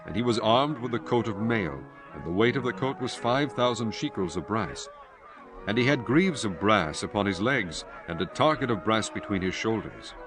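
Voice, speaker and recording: A man giving a solemn, measured dramatized narration of scripture as a storyteller. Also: lowish voice, expressive, over music.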